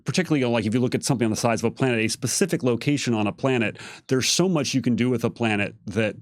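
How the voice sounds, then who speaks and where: A man's voice: mid-range voice, very fast, dry recording, studio quality. A man giving careful, casual explanations as a game developer on a livestream Q&A. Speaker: game setting developer on a Q&A panel.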